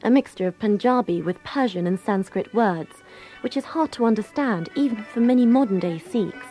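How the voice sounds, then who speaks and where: A woman's voice: medium pitch, animated, moderate pace, over music. A woman presenting a radio documentary, reading scripted narration.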